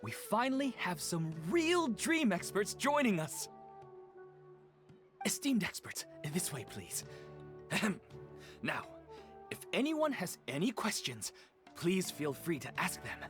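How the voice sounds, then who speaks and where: A courteous young event organizer speaks in a gently formal, lilting voice that rises and falls freely. Quiet game music sits in the background. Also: female voice, on the low side, very animated, slow, long pauses. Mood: polite. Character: polite young woman organizing a dream-sharing event. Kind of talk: video game voice acting.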